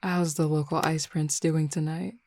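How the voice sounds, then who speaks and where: Woman acting a flirty, low-voiced werewolf woman. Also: fairly even intonation, normal speed, a little mellow, no room sound. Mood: flirty, teasing. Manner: playful, teasing, self-assured. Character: flirty, teasing, confident adult female werewolf. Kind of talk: scripted audio roleplay acting.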